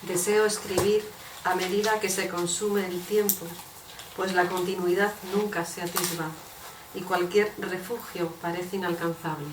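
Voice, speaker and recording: A woman's voice: lowish voice, steady tone, a little slow. A woman reading translated poems aloud at a bookshop event. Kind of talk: poetry recitation. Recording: echoey room, distant noisy mic.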